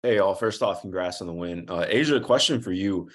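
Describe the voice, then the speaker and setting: Male sports reporter, twenties, deep and brisk, casual questioning on a video call. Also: expressive, a bit bright. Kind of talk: casual press conference questioning. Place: room at home, indoors.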